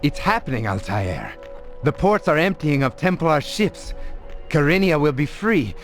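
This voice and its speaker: A man's voice: a little high, very animated, measured pace, faint music behind. A man playing an eager, hopeful, loyal resistance fighter in a video game, speaking earnestly.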